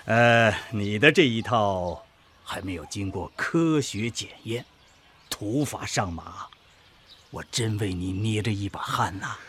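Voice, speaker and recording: An actor playing a pompous older research professor in a Chinese radio drama, husky and lecturing in manner. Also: male voice, on the low side, lively intonation, slow, a bit bright, slight background noise.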